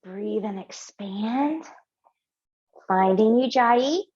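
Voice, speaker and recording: A middle-aged woman leading a yin yoga class, giving slow, gentle cues and affirmations while lying on a mat in a small home studio. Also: fairly high pitch, expressive, highs cut off. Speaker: yoga teacher. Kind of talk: guided yoga class instruction.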